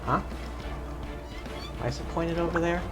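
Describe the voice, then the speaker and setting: Male game streamer, measured pace, music behind. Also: on the high side. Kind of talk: casual live gameplay commentary. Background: video game music and sounds.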